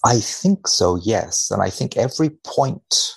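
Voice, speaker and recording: A man speaking as a researcher guest in conversation, wry and measured, giving tongue-in-cheek commentary with mock seriousness. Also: fairly low pitch, lively intonation, gravelly, husky. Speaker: podcast guest, biomedical researcher.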